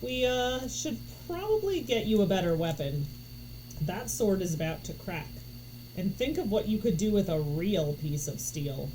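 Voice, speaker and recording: A woman's voice: medium pitch, very animated, unhurried, dark tone. Lady doing an anxious careful young gamer in a storybook, urgent, exasperated, noisy background. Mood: hesitant. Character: anxious, cautious young game player, exasperated with companion. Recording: noticeable background noise.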